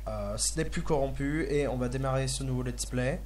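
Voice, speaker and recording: A slightly hoarse young man hosting a casual video game let's play, hesitant and full of filler sounds. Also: medium pitch, a little fast, a little mellow, slight background noise. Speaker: gaming video host.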